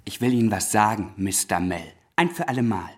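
A man's voice: on the low side, lively intonation, fairly quick, slightly rough. A young male actor playing a cocky, domineering older schoolboy in a radio drama, haughty, self-assured and patronising. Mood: insolent, angry.

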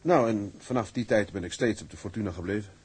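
A modest young sailor. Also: male voice, lowish voice, fast, a little mellow, husky. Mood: earnest. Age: 20s. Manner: polite, earnest, respectful.

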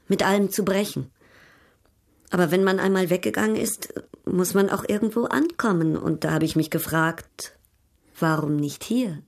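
An actress in a German radio drama playing a proud, worldly European baroness, poised, arch and coolly ironic. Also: female voice, mid-range voice, gravelly, no echo, clean studio sound. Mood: restless, candid.

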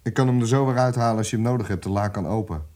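An actor playing a smooth, condescending police inspector interrogating in a radio drama, commanding and deliberate with hesitant pauses. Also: male voice, lowish voice, a little mellow, lightly acted. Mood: cool, pressing.

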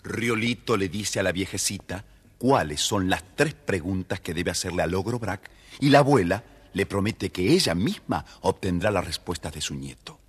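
A man with a husky voice, narrating a scripted children's story. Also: low-pitched, expressive, moderate pace, slight background noise.

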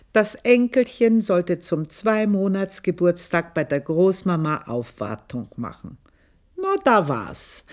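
An actress playing an aging country maidservant in a radio play, telling her story in a mocking, confiding way and often dropping to a hushed whisper. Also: fairly low pitch. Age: older. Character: aging country maidservant, sly, scornful, knowing.

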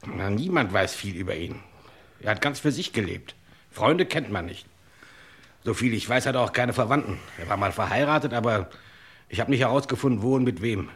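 An actor in a German radio crime drama, playing a film star's brisk, scheming friend who persuades and takes charge. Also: male voice, lowish voice, lively intonation, a bit bright, breathy edge. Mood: instructing.

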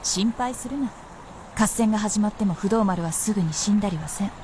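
A woman's voice: medium pitch, brisk, a little mellow, slight background noise, close to an everyday voice. A woman playing an aloof, proud immortal princess in a Japanese period radio drama, with archaic, composed, lordly speech. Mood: wry, unhurried.

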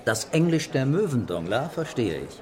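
A man's voice: mid-range voice, very animated, moderate pace, natural voice. A man acting in a radio drama as a laconic, laid-back French police commissioner. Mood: laconic, puzzled.

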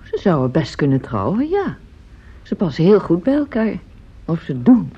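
An actress in a radio play as a polite, kindly lady of the house with a slightly husky voice. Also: lowish voice, big pitch swings, moderate pace. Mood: amused, chatty. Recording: dull, lo-fi old radio recording.

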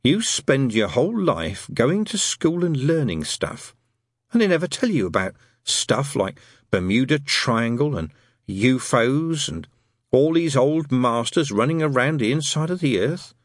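Dim, dreamy storybook lad, played by a man: drawn-out musing in a husky voice. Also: medium pitch, very animated, no room sound, studio quality, voice pushed up. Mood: dreamy, wondering. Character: slow, dreamy, guileless boy.

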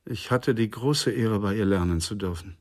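A man's voice: lowish voice, normal speed, darkish tone, natural voice. An actor playing an earnest psychoanalyst in a German radio crime drama, speaking formally and precisely, with reverence for his profession. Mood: earnest, reverent.

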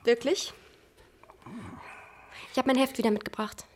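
A woman in a German radio drama playing a brash, excitable teenage girl in a youth gang. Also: on the high side, a little slow, a little hiss or hum, natural voice. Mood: cheeky.

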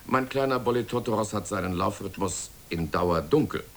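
An actor playing a courteous, titled senior scientist in a radio play, genteel and deliberate in manner. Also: male voice, fairly low pitch, a bit bright, a little hiss or hum, natural voice. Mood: keen, pleased.

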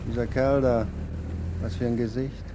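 An actor playing a slow, marvelling bystander in a radio play monologue, speaking in deliberate short phrases. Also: male voice, medium pitch, flat delivery, background noise, close to an everyday voice. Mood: slow amazement. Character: slow, marvelling male bystander gawking at a crowd.

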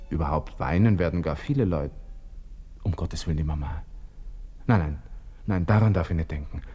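An actor playing a proud, agitated young army lieutenant with a Viennese accent, talking to himself in a radio drama. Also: male voice, very deep voice, normal speed, dark tone, slightly rough, faint music behind. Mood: bitter self-pity, then anguished. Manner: brooding, talking to himself in clipped bursts. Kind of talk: radio drama interior monologue.

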